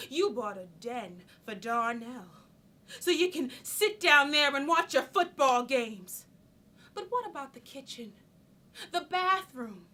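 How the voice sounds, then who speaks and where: A woman's voice: high voice, pushed and bright, exaggerated voice. An actress playing a practical, frustrated young mother confronting her partner in a stage monologue, insistent, colloquial and questioning. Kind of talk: theatrical monologue.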